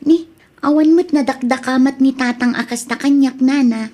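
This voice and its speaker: A woman's voice: high-pitched, fairly even intonation, normal speed, warm tone. A woman acting an earnest, protective adult daughter in an Ilocano-accented radio drama. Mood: curious, concerned. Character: protective, indignant adult daughter fretting over her father.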